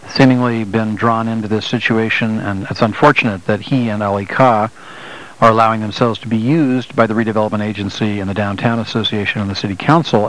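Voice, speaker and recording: Low-pitched man giving earnest commentary. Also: fairly bright tone, a little hiss or hum, poor audio. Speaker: radio show host and commentator. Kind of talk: spoken commentary introducing recordings.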